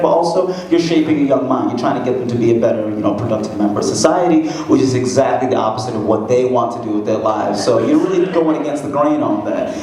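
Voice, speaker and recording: A man in his twenties doing a stand-up comedy set in a conversational, self-deprecating way, with a light voice that has little bass. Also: darkish tone. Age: 20s. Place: comedy club stage, echoey room. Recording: echoey club with a laughing crowd. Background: laughing audience.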